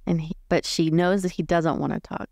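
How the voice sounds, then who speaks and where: A woman's voice: on the low side, steady tone, a little fast, gravelly, a little mellow, no echo, clean studio sound. A woman playing a tabletop roleplay game, casually narrating her character's choices and thinking aloud.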